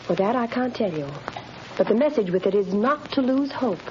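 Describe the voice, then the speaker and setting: An actress playing a calm, loyal young woman who speaks gently and reassuringly in a 1930s radio drama. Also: fairly high pitch, moderate pace, slight background noise, lightly acted. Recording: muffled 1930s radio recording.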